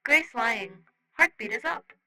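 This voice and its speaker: A woman's voice: high voice, animated, takes it slowly, sharp tone, pitched above the performer's own voice, heavily acted. A woman voicing a blunt, innocent alien friend who speaks in clipped broken phrases. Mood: matter-of-fact.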